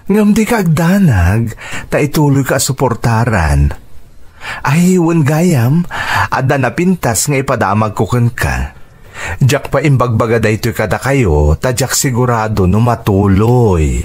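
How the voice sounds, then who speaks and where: A man with an Ilocano Filipino accent acting in a radio drama as a devoted, generous uncle, speaking in an emotive, drawn-out way. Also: mid-range voice, sing-song, darkish tone, breathy edge. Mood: proud, encouraging. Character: devoted, generous uncle supporting his niece's schooling.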